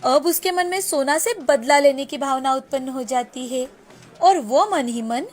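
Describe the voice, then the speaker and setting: A woman's voice: high voice, a little slow. A woman narrating a scripted storybook tale as the storyteller, with music behind her. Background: background music.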